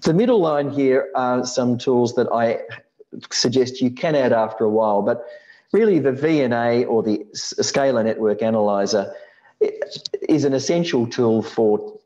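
A middle-aged man giving an explanatory slide talk in a measured, matter-of-fact way, on a dull lo-fi microphone. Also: mid-range voice, mellow. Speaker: presenter of an electronics building tutorial.

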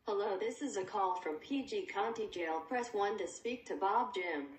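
A woman with a mid-pitched voice delivers a formal scripted phone message. She sounds away from the mic, with clear noise around her. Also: moderate pace. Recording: distant, noisy home recording of call.

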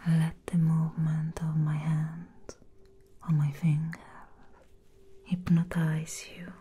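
Slow whispering commanding assassin woman, acted out by a woman. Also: low-pitched, completely flat delivery, husky, exaggerated voice. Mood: hypnotic, soothing. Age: young adult.

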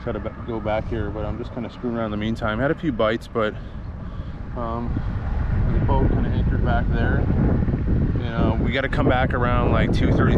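A man's voice: medium pitch, muffled recording. A middle-aged man chatting casually and colloquially to camera in a laid-back vlog style, outdoors on the water. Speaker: vlogger, kayak angler. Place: outdoors on a kayak on open water. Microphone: action camera at about arm's length. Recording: loud wind and water noise.

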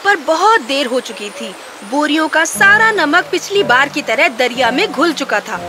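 A woman's voice: sits high, big pitch swings, heavy background noise. A woman reading a scripted children's story as the narrator.

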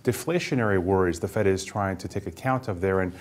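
A middle-aged man gives market commentary on a TV panel. His voice is low, and he speaks quickly and fluently. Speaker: market strategist guest. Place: TV news studio. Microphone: lapel mic.

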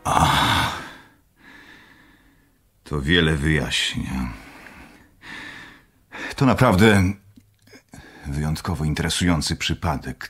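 A courteous radio-drama doctor with an extremely deep, very husky voice. He sounds formal, deliberate and clinically curious. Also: fairly bright tone, full character voice.

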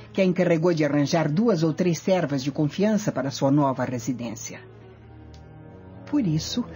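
An actress playing a vengeful, scheming Roman noblewoman in a radio drama, speaking imperiously and deliberately. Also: lowish voice, mellow, close to an everyday voice.

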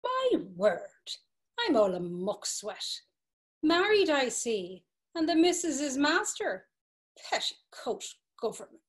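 An actress playing a domineering brothel madam, commanding and mocking, in a dramatic reading over a video call. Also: female voice, high voice, sing-song, takes it slowly, heavily acted. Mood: taunting. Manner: commanding, taunting. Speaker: stage actor.

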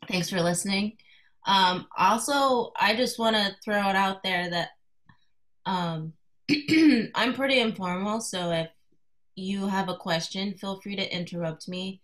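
A woman in her thirties from Colorado, giving an informal artist talk to a class over a video call, soft-spoken and hesitant, with many fillers. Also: mid-range voice, slow, pauses often. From Colorado, USA. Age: 30s. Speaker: guest artist speaking to a class. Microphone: video call computer mic.

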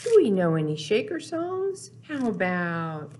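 An older woman in her sixties hosting a storytime for young children, speaking in a deliberate, expressive, simple sing-song teaching way. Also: mid-range voice. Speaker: children's storytime host at a library. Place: small indoor room, in front of backdrop. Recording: noticeable background noise, clicks of props.

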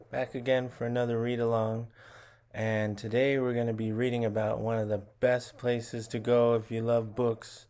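A man in his thirties hosting a picture-book read-along for young children, animated and chatty, often putting questions to his listeners. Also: mid-range voice. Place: small indoor room at home.

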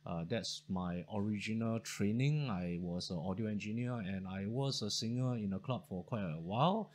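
A man. He speaks warmly and loosely, with frequent ums and restarts. He is recorded close up on a studio mic in a home study. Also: on the low side, moderate pace. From Singapore. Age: 40s. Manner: chatty, thankful, many fillers and restarts. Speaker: history channel host. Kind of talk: casual talk to camera.

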